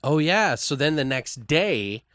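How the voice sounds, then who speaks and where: A man's voice: a little high, big pitch swings, measured pace, fairly bright tone, no echo. Casual foul-mouthed man, close dry sound. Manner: crude, profane slang; acts out characters' lines in passing. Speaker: podcast co-host. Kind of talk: casual improvised movie brainstorming.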